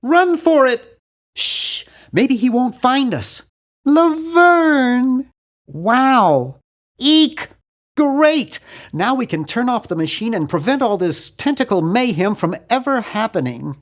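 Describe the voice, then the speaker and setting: A nerdy nasal american kid genius for a cartoon game, voice jumps all over, awkward n fussy n excitable. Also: male voice, very high voice, takes it slowly, heavily acted. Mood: panicky, excited. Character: nerdy, nervous, earnest young man, brainy geek. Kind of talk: cartoon adventure game voice acting.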